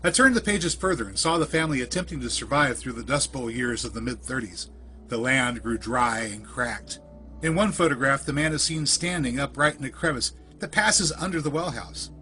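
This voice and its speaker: A man's voice: medium pitch, animated, moderate pace, pushed and bright. Man narrating a spooky story over music. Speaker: narrator of a creepypasta podcast. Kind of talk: scripted first-person spooky story narration.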